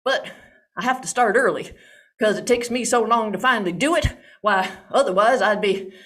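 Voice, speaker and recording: A woman's voice: medium pitch, moderate pace, fairly bright tone, natural voice. A woman doing a folksy old traveling salesman. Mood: boastful.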